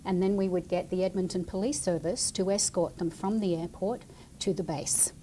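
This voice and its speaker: A woman's voice: on the low side, steady tone, normal speed, warm tone, slight background noise. A woman explaining her work to children as a guest, in plain, chatty language. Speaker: city military liaison and veteran, guest speaker.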